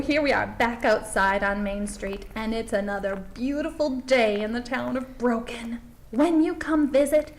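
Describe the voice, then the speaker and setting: A woman's voice: on the high side, slight background noise, natural voice. A woman playing a folksy small-town documentary host, gushing politely in tour-guide patter, as in a comedy mockumentary. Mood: cheerful, polite. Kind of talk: scripted mockumentary narration and interviews.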